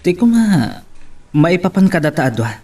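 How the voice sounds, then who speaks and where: A man's voice: high-pitched, big pitch swings, moderate pace, darkish tone, distant mic. A man with an Ilocano accent acting an earnest, hesitant suitor in a romance audio drama. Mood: earnest, pleading. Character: earnest, hesitant young male suitor confessing love.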